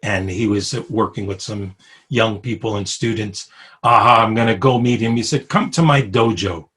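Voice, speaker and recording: A man in his sixties from Brooklyn with a New York accent, telling his life story over a video call, with pauses, fillers and frequent soft chuckles. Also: lowish voice, husky. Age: 60s. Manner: unhurried, chuckles often, many pauses and fillers. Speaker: interviewee. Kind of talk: reflective personal storytelling.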